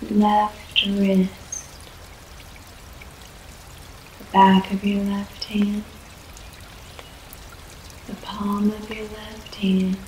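A woman leading a guided yoga nidra relaxation in a soft, soothing voice, with long pauses between short phrases. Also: medium pitch, monotone. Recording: echoey room, faint background noise.

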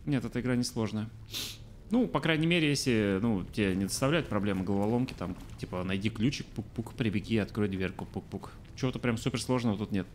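A man in his thirties with a lowish voice, talking through a game stream as he plays. He thinks aloud in a loose, chatty way and answers his viewers as he goes. Faint game sounds sit quietly behind him. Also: fast talker, slight background noise. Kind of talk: casual let's-play commentary. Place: small room indoors. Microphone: studio mic on arm by face.

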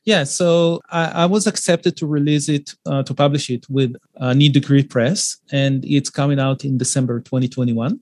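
A middle-aged man, Hebrew speaker, talking over a video call from a room at home. He speaks in a thoughtful, halting way full of fillers and restarts, at an average pitch for a man. The sound is dry and close. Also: fairly even intonation, darkish tone, no echo. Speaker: author guest. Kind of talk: casual interview on a video call. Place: home room with paintings behind him.

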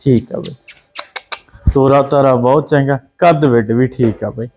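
A man with a Punjabi accent hosting a livestock sale video outdoors on a dairy farm, running through each cow's traits in quick rhyming checklists. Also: medium pitch, a little mellow, a little hiss or hum, low-quality recording. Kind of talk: casual livestock sales commentary.

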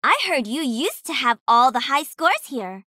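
A woman voicing a cocky, playful young female pro gamer and mech pilot in a video game, with a sassy, confident, energetic delivery. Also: sits high, unhurried, no room sound, studio quality, heavily acted. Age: young adult.

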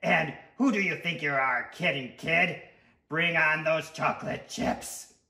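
A man's voice: fairly high pitch, unhurried, creaky, sharp tone, echoey room, voice pushed up, exaggerated voice. A man voicing a bossy, greedy, wisecracking talking tooth from a children's storybook, brash and demanding. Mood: bossy, egging on.

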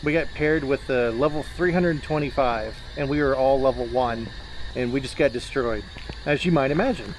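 A man chatting casually while playing a co-op horror game, excitable and jokey, often exclaiming. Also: mid-range voice, normal speed, slight background noise, low-quality recording. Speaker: video game player.

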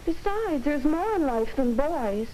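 A gossipy American woman in 1950s film comedy style. Her voice is high and dramatic, with lively swings of pitch. The old soundtrack is very noisy and crackly. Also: measured pace. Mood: chatty. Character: gossipy, dramatic American women chatting on telephones.

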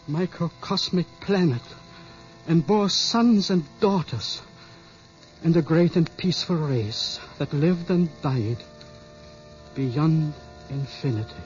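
Casting: grave older doctor in mourning, very measured delivery, muffled vintage radio drama. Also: male voice, on the high side, a little mellow. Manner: halting, weighty, dramatic. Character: grave, grieving older male scientist and father. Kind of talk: 1950s radio drama acting. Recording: muffled, humming old radio recording.

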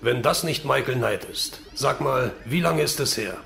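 A smug male villain in an audio drama , acted by a man. His voice is creaky and gravelly at a middle pitch. He speaks with menace and command, over light music. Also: animated, moderate pace, fairly bright tone. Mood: smug, taunting.

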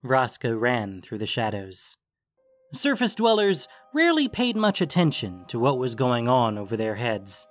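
A man reads scripted fantasy fiction as the narrator. His voice sits at a medium pitch, with faint music underneath. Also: huge pitch swings, measured pace, no echo.

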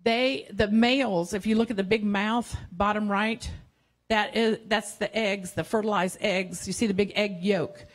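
A woman's voice: medium pitch, fairly even intonation, measured pace. A woman in her sixties, a marine educator, gives a casual public science talk in a playful, chatty way, often correcting herself mid-sentence, speaking into a handheld mic in a big museum hall. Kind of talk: informal science cafe lecture. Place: large museum cafe hall with a seated audience. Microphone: handheld microphone.

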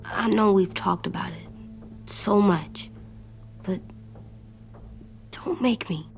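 A woman playing an anxious, stubborn young boy in a dramatic video game, speaking earnestly in halting, childlike phrases. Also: medium pitch, vocal fry, whispered. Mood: pleading, defiant.

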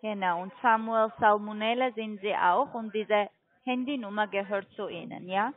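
Businesslike woman caller, foreign accent, non-native, mid pitch, tinny phone sound. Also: normal speed. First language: not German. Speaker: telemarketing caller. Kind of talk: sales phone call.

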